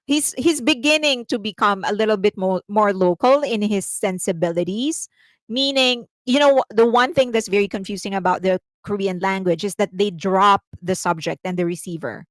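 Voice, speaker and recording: Filipino-accented woman host, mid-pitch, chatty explainer, dry close sound. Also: moderate pace, no echo. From Philippines. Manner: chatty and explanatory, laughs often, reads chat comments aloud. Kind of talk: casual commentary livestream.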